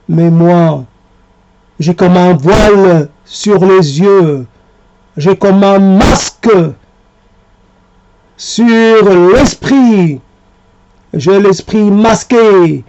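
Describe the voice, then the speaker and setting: Guy reciting a poem, pretty high voice, really slow and halting, bit by bit. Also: a little mellow, dull audio. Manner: halting delivery in short, separated phrases. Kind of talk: spoken poetry recitation.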